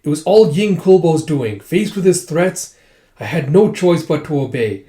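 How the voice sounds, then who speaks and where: A man's voice: on the high side, lively intonation, measured pace, mellow. A man voicing a desperate, pleading male lord of a threatened province in a retold story. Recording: roomy, echoing sound.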